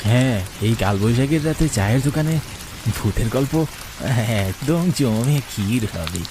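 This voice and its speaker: A man's voice: medium pitch, animated, a little slow, natural voice. A man voicing an older villager who recounts a ghost encounter from his youth in a suspenseful storytelling manner for a cartoon. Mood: reminiscing. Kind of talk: dramatic ghost story narration. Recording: noisy night ambience with chirping crickets.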